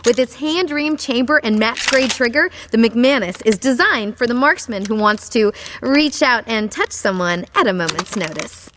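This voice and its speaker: Polished, high-voiced saleswoman. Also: animated, normal speed, a bit bright, in a large hall, slight background noise. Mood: pleasant salesmanship. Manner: precise, presentational. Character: polished, cheerful gun saleswoman. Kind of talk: scripted sales pitch in a video game.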